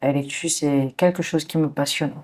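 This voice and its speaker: A woman's voice: sits low, fairly even intonation, moderate pace, darkish tone. A young woman, a guest on a counseling show, answering questions in brief, reflective replies. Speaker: guest sharing her story.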